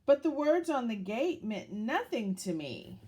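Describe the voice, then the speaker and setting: A woman reads a picture book aloud for children. Her delivery is slow and deliberate, with lively swings of pitch that dramatize the tale for young listeners. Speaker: children's story reader.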